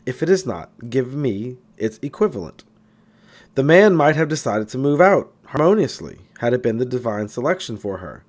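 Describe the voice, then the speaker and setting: A man reading a spiritual self-help book aloud in a measured, emphatic, instructive way. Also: medium pitch, very animated. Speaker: audiobook reader.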